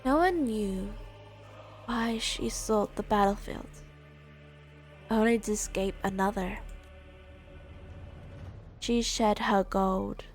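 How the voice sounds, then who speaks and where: A woman streamer casually playing through a game live, reading its text aloud in a halting, measured way. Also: medium pitch. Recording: game music behind voice. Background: video game music.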